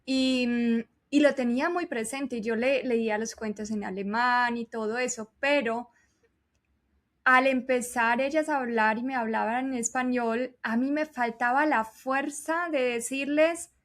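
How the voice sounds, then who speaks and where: A woman's voice: fairly high pitch, steady tone, unhurried. A woman guest whose first language is German, sharing personal experience while searching for words. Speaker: podcast guest. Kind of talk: conversational podcast talk.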